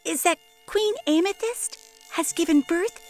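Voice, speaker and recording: An actress playing a prim, excitable, tiny elderly fairy with formal, wordy phrasing in a fairytale audio drama. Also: female voice, very high voice, measured pace, faint music behind.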